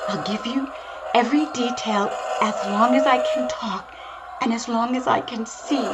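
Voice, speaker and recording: An actress playing an excitable, overwhelmed field reporter in a 1930s-style radio drama, speaking in dramatic broken phrases. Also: female voice, a little high. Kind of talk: 1930s radio drama acting, breathless live commentary. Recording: noisy audio with constant ambience.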